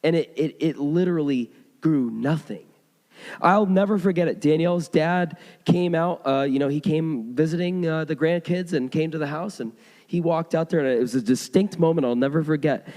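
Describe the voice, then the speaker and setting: Hoarse man preaching in a reverberant hall. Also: on the high side, moderate pace, a little mellow. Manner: conversational storytelling with frequent restarts. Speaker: pastor. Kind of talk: sermon. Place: church sanctuary.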